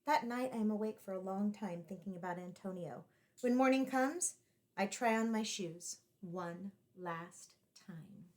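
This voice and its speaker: A woman's voice: mid-range voice, a little slow, a little mellow, a little hiss or hum. Woman reading a children's story aloud. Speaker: teacher reading a picture book aloud. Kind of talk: expressive children's read-aloud narration.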